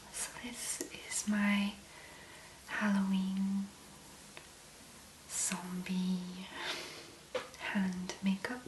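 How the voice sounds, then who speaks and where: A woman giving a soft-spoken ASMR make-up tutorial, mostly in a breathy whisper, murmuring unhurriedly in short phrases. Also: mid-range voice, roomy sound, background noise. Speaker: ASMR host.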